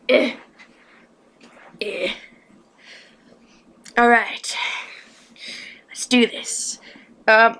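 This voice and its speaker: A woman chatting casually as a reaction video host, with a rambling, halting delivery. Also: on the high side, a bit bright. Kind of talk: casual chat to camera.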